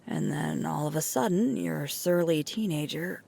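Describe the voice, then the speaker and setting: A woman playing a sweet, tired alpha werewolf girlfriend in an intimate roleplay ASMR, murmuring softly and breathily close to the microphone. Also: sits low, exaggerated voice. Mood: soft, musing. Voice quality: breathy, creaky, often whispering. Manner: slow, soft, unhurried intimate murmur with pauses.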